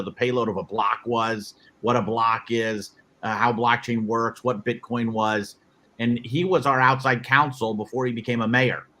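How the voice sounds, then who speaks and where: Bright-voiced man moderating a webinar. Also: mid-range voice. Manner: loose, rambling, many restarts. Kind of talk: casual panel moderation.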